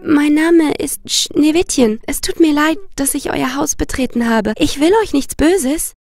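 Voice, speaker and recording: A woman voicing a gentle, timid young princess in a fairy tale, polite and sweet. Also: sits high, a little slow, pitched above the performer's own voice. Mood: timid, apologetic. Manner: polite, hesitant. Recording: long reverb, music behind.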